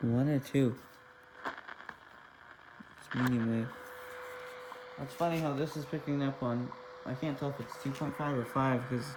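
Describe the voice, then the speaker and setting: Man muttering over noisy radio static. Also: mid-range voice. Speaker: radio hobbyist vlogger. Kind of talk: casual, unscripted demonstration commentary. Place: small indoor room at a workbench.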